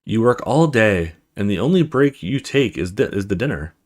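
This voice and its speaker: A man's voice: lowish voice, sing-song, normal speed, a little mellow. A man hosting a let's play, reading a horror visual novel aloud in a dramatic storytelling way with casual asides.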